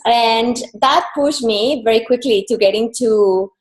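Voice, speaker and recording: A woman's voice: a little high, measured pace, reverberant, rough recording. A woman from Colombia with a Colombian Spanish accent, an interview guest and style coach telling her story in an earnest, flowing way. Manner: earnest, flowing, repeats phrases for emphasis. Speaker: personal brand and style coach.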